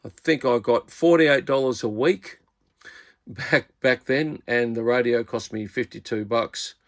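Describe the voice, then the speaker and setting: A man's voice: mid-range voice, unhurried. An Australian man in his fifties, a radio hobbyist doing a casual video review in a rambling, laid-back way and using plenty of slang.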